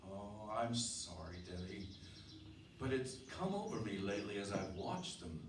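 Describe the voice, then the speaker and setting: A man playing a brooding, uneasy married farmhand in an earnest, measured old-time radio drama style. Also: fairly low pitch, big pitch swings. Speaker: actor, narrator and lead. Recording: roomy, distant mic, clear background noise.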